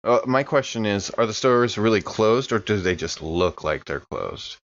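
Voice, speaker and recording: A man's voice: on the low side, expressive, moderate pace. A man chatting casually as a player in a tabletop game, thinking aloud in a tentative way, on a slightly dull recording.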